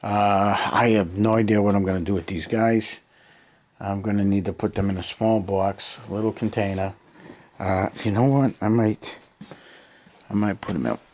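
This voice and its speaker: Low-voiced American man thinking aloud. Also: steady tone, normal speed, a little mellow. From United States. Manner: unscripted, thinking aloud in short muttered bursts. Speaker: hobby vlogger. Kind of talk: casual chat to camera. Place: cluttered basement hobby room. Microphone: handheld camera near arm's length.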